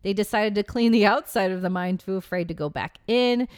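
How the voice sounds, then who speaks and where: A woman's voice: medium pitch, a little fast, clean tone. A woman co-hosting a podcast, telling stories in a conversational way and adding asides as she reads out accounts. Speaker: podcast co-host. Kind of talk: casual storytelling chat about a legend.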